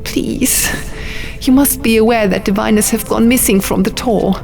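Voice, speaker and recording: A woman's voice: on the high side, fairly even intonation, normal speed, gravelly, pitched above the performer's own voice. A woman voicing an anxious, pleading young female seer in an audiobook. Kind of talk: audiobook character voice. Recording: music behind the voice.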